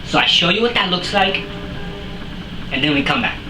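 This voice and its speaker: A boastful, bumbling French chef, played by a comedian rambles through a home cooking demo in a put-on French accent that keeps sliding toward Australian or British. His English is broken and peppered with crude swearing. The kitchen is roomy and echoey, and a steady noise runs behind him. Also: mid-range voice, moderate pace, natural voice. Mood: cocky. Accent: put-on French, drifting to Australian or British. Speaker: cooking show host. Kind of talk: comic cooking demonstration.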